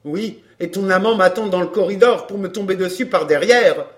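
A woman reading a story, voicing a gruff fairground man, angry and anxious, with blustering colloquial speech. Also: mid-range voice, moderate pace, lightly acted. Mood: angry, threatening.

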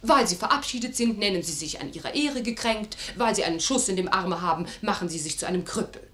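A woman's voice: medium pitch, fast, pushed and bright, lightly acted. An actress playing a teasing, determined young noblewoman in love, with refined theatrical delivery in the style of 1950s radio drama. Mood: insistent. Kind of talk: 1950s German radio play acting.

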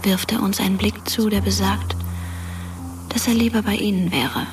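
Woman acting a hushed, half-whispering ghost girl. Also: medium pitch, steady tone, normal speed, lightly acted. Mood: quiet, watchful. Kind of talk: German radio drama narration. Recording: music under the voice.

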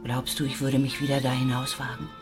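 A loving, worn-down middle-aged mother with a low voice. She speaks with anxious tenderness in radio drama style. Music plays clearly behind her. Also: little pitch movement, brisk. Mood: wistful, dreamy. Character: careworn, loving working-class mother of a teenage boy. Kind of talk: German radio play acting.

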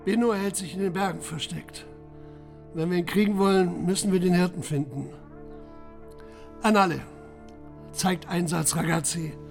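A driven male police chief in a radio crime drama. His high voice has a creaky edge and gives urgent orders over background music. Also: moderate pace, pauses often. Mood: frustrated, resolute. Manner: urgent, commanding. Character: commanding, driven Italian police chief leading a manhunt.

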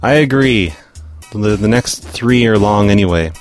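Guy hosting a call-in show, casual and sarcastic, lowish voice. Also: unhurried, soft background music. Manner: sarcastic, offhand. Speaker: podcast host. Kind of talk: casual chat.

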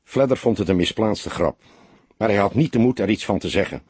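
A man the reading a crime story as an audiobook, in a measured, expressive storytelling manner. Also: fairly low pitch, slightly rough, dry recording. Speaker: audiobook narrator. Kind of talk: scripted literary narration of a crime story.

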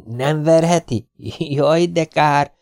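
A man voicing an excitable little boy who squeals and shouts eagerly. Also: high voice, clear, resonant tone, voice pushed up.

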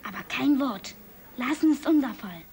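A woman's voice: high voice, animated, normal speed, a little mellow. An actress playing a determined, bossy girl in a German adventure audio drama.

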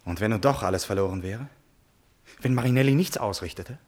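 An actor playing an impulsive, lovesick prince in a classical German radio drama, imperious and theatrically emphatic. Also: male voice, fairly low pitch, a little fast, a little mellow, close to an everyday voice. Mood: brooding. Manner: theatrical, emphatic, swinging from outburst to brooding.

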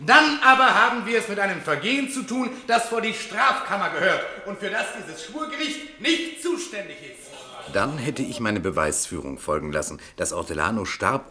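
A man's voice: medium pitch, sharp tone. An actor playing an eloquent, shrewd and courteous male defence lawyer in a German radio play, rhetorical and emphatic. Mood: impassioned pleading. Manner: rhetorical, emphatic in court, dry and measured in private.

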